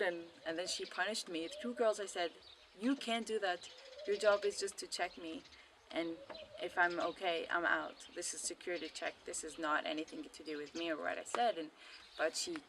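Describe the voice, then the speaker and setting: A woman's voice: fairly low pitch, a bit bright, slight background noise. A young woman telling a casual anecdote with quoted dialogue in a defiant, matter-of-fact way. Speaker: documentary interviewee.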